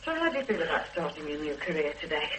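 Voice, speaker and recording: A woman's voice: low voice, very animated, fast talker. An actress playing a fond mother who teases and coaxes her hungover grown son, in a radio drama. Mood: teasing. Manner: teasing and coaxing. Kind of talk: 1970s radio drama acting. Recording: old radio recording with faint hiss.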